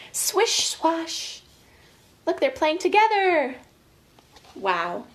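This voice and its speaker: High-voiced, unhurried American woman, children's educator. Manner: expressive, exclaiming, chatty, addressing children directly. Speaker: children's educator leading story time and movement. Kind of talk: animated picture-book reading and casual guided instruction for young children.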